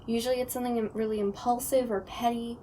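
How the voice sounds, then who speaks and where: A woman's voice: a little high, level tone, fast talker, dark tone, slight background noise, lightly acted. A woman playing a cheerful, pun-loving young ghost in an audio drama, perky and breezy. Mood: breezy, playful.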